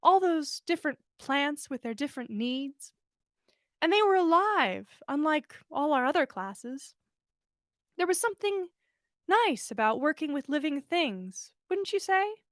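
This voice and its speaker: A woman reading the part of a gentle, dreamy, curious young woman in a story. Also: high voice, sing-song, unhurried, dry recording, studio quality, pitched above the performer's own voice. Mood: wistful.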